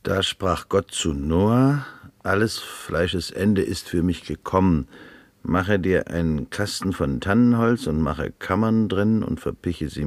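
A low-voiced man in a seventies German radio drama. He is curious and wry, with a musing, sardonic way of talking. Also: a little mellow, dry recording. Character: curious, wry man deciphering a coded document. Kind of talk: 1970s German radio play acting.

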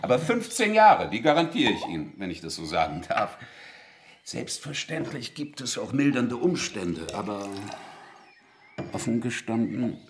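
A man in a German radio drama playing a sly, polite investigator who speaks deliberately with insinuating courtesy and quiet menace. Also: mid-range voice, big pitch swings, a little hiss or hum.

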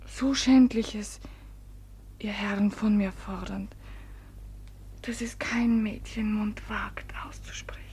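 A woman's voice: mid-range voice, fairly even intonation, slow pace, long pauses, a little mellow, slightly rough. A woman in a 1950s German radio play acting a wronged, impassioned young village maiden. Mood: anguished. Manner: impassioned, dramatic.

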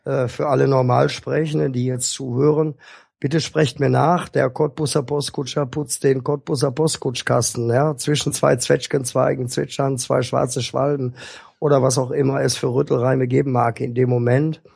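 A man speaking as a guest speech therapist, explaining things in a calm, instructive and measured way. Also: medium pitch, vocal fry, husky, no room sound, clean studio sound. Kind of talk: explanatory interview talk.

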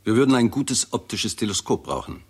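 An actor playing a blunt, sardonic, self-assured scientist in a 1960s radio play, with a dry, assertive way of talking. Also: male voice, fairly low pitch, very animated, fairly quick, breathy edge. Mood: firm, demanding.

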